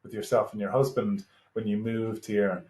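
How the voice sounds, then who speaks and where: A man with an Irish accent hosting a casual interview, asking questions in a hesitant, halting way. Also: on the low side, steady tone, a little mellow. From Ireland. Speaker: interviewer, host. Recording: echoey room sound.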